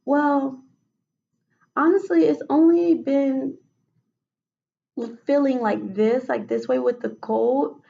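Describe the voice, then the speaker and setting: A woman with a raspy voice answering questions as a patient in a role-played clinical interview, hesitant with many fillers and restarts. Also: high voice, steady tone, slow, pauses often, warm tone, highs cut off. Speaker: mock patient in a role-play case study.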